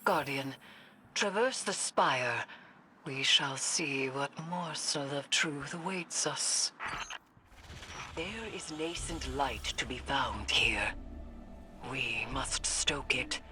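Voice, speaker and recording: An actress playing a grave, stern witch in a video game, solemn and portentous, with formal measured diction. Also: a bass voice, background noise. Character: grave, stern witch woman steeped in dark magic. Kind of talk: scripted video game voice acting.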